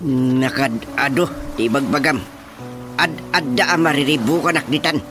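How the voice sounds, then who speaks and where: A man's voice: mid-range voice, unhurried, over music, lightly acted. A man acting a fretful, grumbling older Filipino father. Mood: grumbling, flustered. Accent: Filipino, Ilocano. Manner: sighing, grumbling, full of interjections. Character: worried, complaining older father, a village official. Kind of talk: Ilocano radio drama comedy acting.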